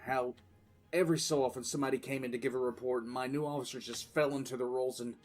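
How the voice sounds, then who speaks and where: A man playing a weary, resolute military commissar who recounts his war in deliberate, emphatic dramatic narration. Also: medium pitch, steady tone, close to an everyday voice. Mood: wistful. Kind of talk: dramatic first-person audiodrama narration. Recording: music bed under the voice.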